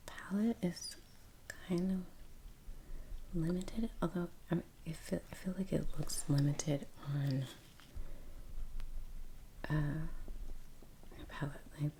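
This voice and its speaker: A woman speaking in a hushed, gentle, whispered ASMR style, murmuring casual painting commentary with long pauses, close to the microphone. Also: sits low, fairly even intonation, a little hiss or hum, low-quality recording. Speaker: ASMR creator painting on camera.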